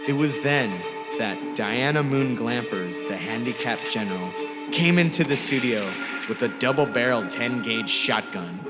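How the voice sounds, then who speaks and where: A man reading a short story aloud in a deliberate, theatrical style, drawing out some words. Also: mid-range voice, lively intonation. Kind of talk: dramatic scripted story narration. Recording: music score under the voice. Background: music score.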